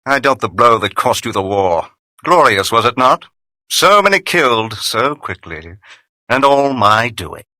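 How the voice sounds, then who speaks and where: An actor playing a gloating, treacherous vampire henchman in a video game, raspy and theatrical, with mocking, formal archaic phrasing. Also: medium pitch, very animated, a little slow, bright, forceful tone, no echo, clean studio sound. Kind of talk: dramatic video game voice acting.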